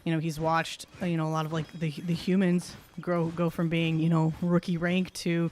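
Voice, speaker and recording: A tabletop role-playing player casually describing a character, hesitant and often pausing to search for the next word. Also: mid-range voice, flat delivery, darkish tone, a little hiss or hum.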